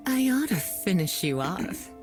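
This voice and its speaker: A woman's voice: fairly low pitch, sing-song, unhurried, a little mellow. A woman playing a seductive, sadistic female demon from a video game, with sultry, teasing, whispery menace. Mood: menacing, seductive. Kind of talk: video game character voice lines. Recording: music playing behind the voice.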